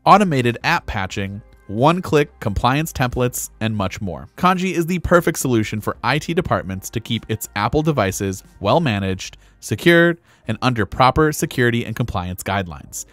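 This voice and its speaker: Male tech host, medium pitch, scripted instructional read, dry close recording. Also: no echo. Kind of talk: scripted tutorial narration.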